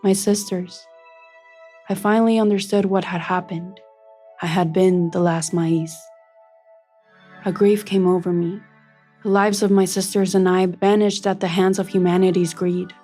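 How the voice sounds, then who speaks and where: Brief: actress as an ancient corn-plant spirit, low and warm, gentle and lyrical. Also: female voice, flat delivery, a little slow, long pauses, faint music behind, close to an everyday voice. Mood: tender. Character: grieving elder corn-plant sister spirit. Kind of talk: scripted storybook narration.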